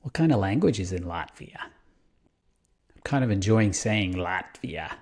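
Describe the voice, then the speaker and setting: A man's voice: on the low side, lively intonation, unhurried, darkish tone. A man co-hosting a podcast about fatherhood, chatting in a playful, joking way. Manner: playful, jokey. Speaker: podcast co-host. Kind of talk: casual podcast chat.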